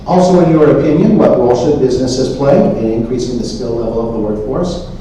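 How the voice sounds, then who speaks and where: A man's voice: mid-range voice, a little fast, warm tone. A man moderating a forum, formally reading questions aloud. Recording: echoey room, distant mic, faint noise.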